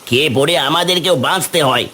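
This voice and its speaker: Outspoken cartoon shopkeeper, played by a man, sharp forceful tone, raised pitch, insistent. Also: fast talker. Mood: pleading, insistent. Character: outspoken male village market shopkeeper. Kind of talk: Bengali cartoon voice acting.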